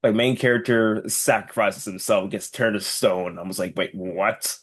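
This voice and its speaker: A man's voice: lowish voice, moderate pace, fairly bright tone, slightly rough. A young man co-hosting a casual podcast, speaking in a blunt, informal, slangy way.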